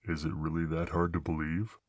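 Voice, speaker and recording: A man voices a big, bashful monster with a gentle heart. The voice is very low and recorded dry and close. He talks hesitantly and kindly, as in an ASMR roleplay. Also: completely flat delivery, a little fast, no room sound.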